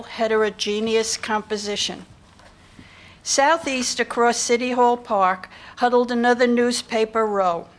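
A woman in her sixties reading scripted historical narration aloud at a podium. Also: fairly high pitch. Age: 60s. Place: lecture hall stage before a seated audience. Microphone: podium microphone.

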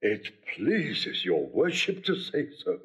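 An actor playing a bumbling, self-important old constable who mangles words, in a pompous, rambling Shakespearean comedy style. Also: male voice, mid-range voice, wildly swooping pitch, slow, a bit bright, exaggerated voice. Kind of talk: classical Shakespeare stage comedy acting.

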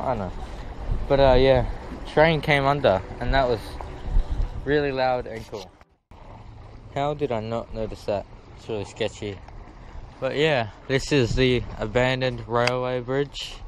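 A man's voice: medium pitch, poor audio. A teenage man chatting casually into an action camera while exploring a windy waterfront, with hesitant asides and little laughs. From Australia. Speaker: vlogger. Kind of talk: casual vlog narration. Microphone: action camera on hand or head. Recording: wind noise, music underneath.

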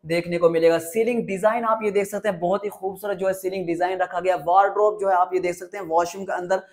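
A man with a Pakistani accent hosts a property tour in a high-pitched voice. He presents with enthusiasm, in an effusive salesman's patter that circles back on the same phrases. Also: steady tone, fast talker.

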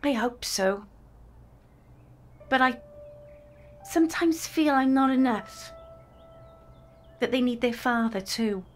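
An actress playing a devoted, proud young mother in a period audio drama, with a gentle, candid manner. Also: a little high, takes it slowly, long pauses. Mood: tender.

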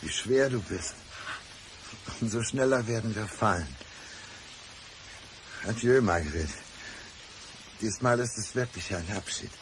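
A man's voice: fairly low pitch, lively intonation, unhurried, long pauses, a little hiss or hum, poor audio. An actor playing a brooding, deceitful, despairing man in a radio crime drama, speaking with intense deliberation. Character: brooding, deceitful man feigning blindness, despairing, country-dwelling.

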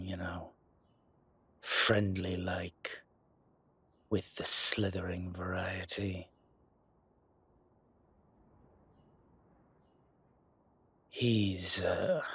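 Man voicing a husky, deep, suave dragon mob boss. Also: sharp tone, dry recording, full character voice. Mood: haughty, disdainful. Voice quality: husky, rough. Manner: slow, deliberate, pausing, smooth-talking. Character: suave, arrogant male dragon mob boss, older, fond of luxury. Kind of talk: intimate scripted roleplay audio, one-sided conversation.

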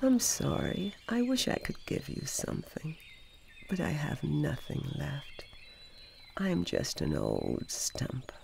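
A woman voicing a gentle, loving female tree in a storybook, speaking softly and tenderly. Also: very deep voice, wildly swooping pitch, slow, gravelly, hardly any pauses, whispered, pitched below the performer's own voice, full character voice. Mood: sorrowful. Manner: tender, pleading, soft. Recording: outdoors with birds and insects.

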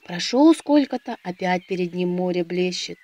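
A woman storyteller narrating a scripted audio fairy tale in a measured, expressive manner. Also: lowish voice, dry recording.

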